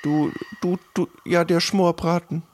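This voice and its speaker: A man plays an eager young boy who apprentices as a cannibal's cook in a fantasy role-play. The voice sits fairly high and keeps stumbling and repeating words with naive earnestness. There is faint noise behind it. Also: flat delivery, unhurried, lightly acted. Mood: hesitant.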